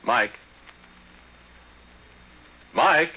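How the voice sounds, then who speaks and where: An actor playing a haunted, obsessed middle-aged man in a halting, confiding 1940s radio horror monologue. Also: medium pitch, expressive, pushed and bright, a little hiss or hum, heavily acted. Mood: menacing insistence. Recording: dull vintage radio recording with music behind.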